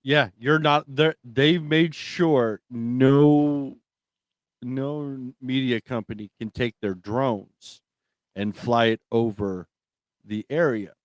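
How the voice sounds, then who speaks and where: A man's voice: mid-range voice, takes it slowly, dry recording. A man hosts casual talk radio in a very dry, close-sounding space. His manner is blunt and opinionated, and he swears freely in loose, conversational speech. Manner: blunt, profane.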